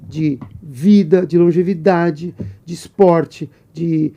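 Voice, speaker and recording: A man in his sixties, a businessman and mentor, talking as a podcast guest in a friendly, energetic way, listing things off. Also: on the high side, takes it slowly, gravelly, highs cut off. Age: 60s. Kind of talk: podcast interview.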